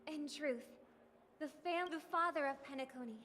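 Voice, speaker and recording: A woman's voice: high-pitched, moderate pace, fairly bright tone, a little hiss or hum. An actress voicing a gracious young woman delivering a formal festival speech in a poised, ceremonial manner. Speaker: festival speaker. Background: game music.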